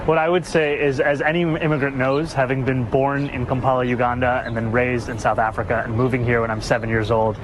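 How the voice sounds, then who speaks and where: A man answers a news interview in a composed, measured way. The clip comes from outdoors, with clear street noise and passing traffic behind his voice. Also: mid-range voice, flat delivery. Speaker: interviewed politician.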